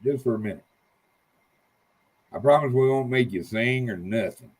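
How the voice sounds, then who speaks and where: A man's voice: medium pitch, normal speed, darkish tone, breathy edge. A man, a little tipsy, chatting as a guest on a livestream in a rambling, folksy way. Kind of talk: casual livestream chat.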